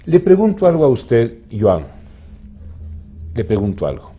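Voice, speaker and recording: A man's voice: medium pitch, wildly swooping pitch, unhurried, lo-fi sound. A man with an Ecuadorian accent hosting a radio interview, speaking in an emphatic, rhetorical, opinionated way. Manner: emphatic, rhetorical, repeats questions for effect. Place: radio studio.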